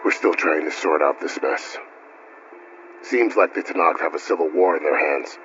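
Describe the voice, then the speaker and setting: An actor playing a weary but helpful frontier officer in a video game, explaining things gravely and steadily. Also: male voice, a bass voice, normal speed, background noise. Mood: grave, concerned. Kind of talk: naturalistic game dialogue. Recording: dull game audio with music.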